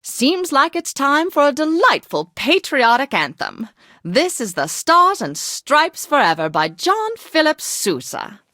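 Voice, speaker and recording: A flamboyant, upper-crust lady hosting classical radio in a video game. Her high voice swoops theatrically through every phrase, genteel and a little tipsy. The recording is very dry and close. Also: sing-song, unhurried, sharp tone, no room sound, full character voice. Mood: delighted, refined. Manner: theatrical, affected, genteel and effusive.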